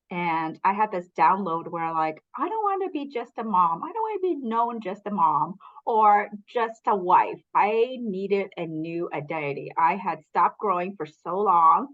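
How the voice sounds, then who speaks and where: A woman's voice: on the low side, phone-quality sound. A middle-aged woman from Canada, a business coach telling her story in a candid, earnest, motivational way during a casual interview.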